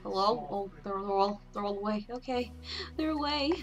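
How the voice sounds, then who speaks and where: A woman's voice: mid-range voice, normal speed. A woman hosting a casual livestream, talking in a playful, rambling and crude way. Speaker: livestream host. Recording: music playing behind the voice. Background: music.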